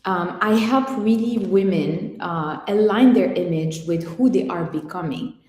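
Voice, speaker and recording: Woman, from Morocco, mellow tone, measured and reflective, roomy echo. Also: on the low side. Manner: measured and reflective, pausing often. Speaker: guest image consultant. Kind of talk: conversational interview answers. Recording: echoey room with long reverb.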